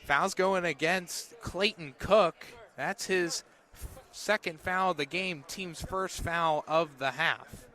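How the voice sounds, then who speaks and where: Male sports radio play-by-play caller, higher-pitched, bright and punchy, basketball bouncing behind. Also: takes it slowly. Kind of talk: live basketball radio commentary. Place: indoor basketball game.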